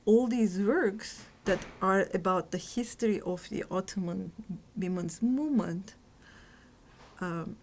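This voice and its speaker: A woman's voice: medium pitch, a little mellow. A woman historian in her forties from Turkey, speaking earnestly and reflectively in a documentary interview.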